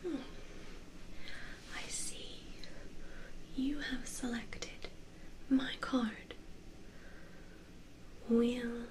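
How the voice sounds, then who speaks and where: A woman playing the Wheel of Fortune, an enigmatic tarot spirit, speaking cryptically in a halting, deliberate ASMR whisper. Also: a little high, steady tone, rough recording. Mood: mysterious.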